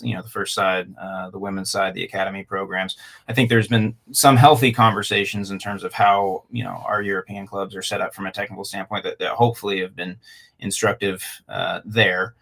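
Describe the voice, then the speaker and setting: A man's voice: on the low side, a bit bright. A man from Canada and Alaska, a guest on a talk show, speaking casually and hesitantly with many fillers. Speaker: guest, club owner. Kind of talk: casual interview.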